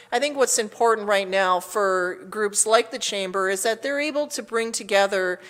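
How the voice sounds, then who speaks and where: A woman with a Canadian accent speaking as mayor, reading out a formal public address in a measured, official manner. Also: mid-range voice, a bit bright.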